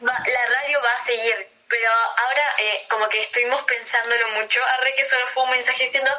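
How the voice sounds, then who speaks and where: A woman's voice: a little high, fairly even intonation, brisk, sharp tone, rough recording. A young woman with an Argentine accent, co-hosting a radio show in a playful, casual, chatty way. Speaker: radio co-host. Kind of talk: casual chat.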